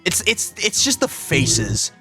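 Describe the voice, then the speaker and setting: A man's voice: sits high, wildly swooping pitch, slow. A man doing casual reaction commentary in an informal, slangy way, reading captions aloud and breaking in with exclamations. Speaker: reaction video host. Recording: loud music under the voice. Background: loud music.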